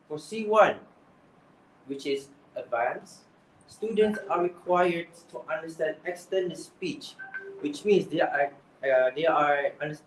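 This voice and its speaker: A man's voice: on the high side, slight background noise. A young man with a Malaysian accent giving exam tips, earnest and often restarting his phrases. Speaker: English speaking coach. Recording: echoey room, voice from far off.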